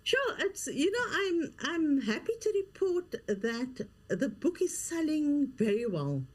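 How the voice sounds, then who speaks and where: Female guest, middle-aged, slightly high register, earnest and emphatic. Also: big pitch swings, a little slow. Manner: earnest, emphatic, repeats key phrases. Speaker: guest, leadership coach and author. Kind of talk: interview conversation.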